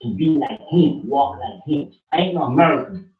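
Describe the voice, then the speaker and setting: A man's voice: mid-range voice, lively intonation, moderate pace. A man in a small study room speaking emphatically and fiery, repeating his points in an impassioned rant. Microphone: far room camera. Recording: echoey, distant, dull room recording.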